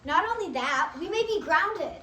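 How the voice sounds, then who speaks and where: A woman's voice: very high-pitched, expressive, moderate pace, crowd noise. A woman playing an earnest brother in amateur readers' theatre. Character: earnest brother pleading with his father. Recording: distant echoey room, faint noise.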